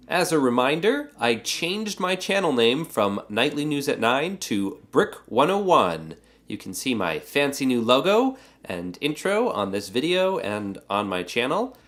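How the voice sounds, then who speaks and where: A man with a stuffy, congested voice from a cold, hosting a casual how-to building tutorial and explaining it in a relaxed way with frequent filler words. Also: medium pitch. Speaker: Lego builder and channel host.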